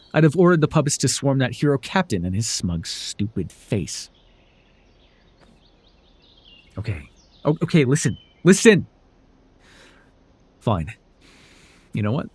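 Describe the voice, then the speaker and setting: Male actor as sardonic telepathic villain, husky, very animated pitch, theatrical, condescending, secretly tender, ASMR roleplay. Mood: exasperated, relenting. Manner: theatrical, grandiose, flustered, dryly condescending.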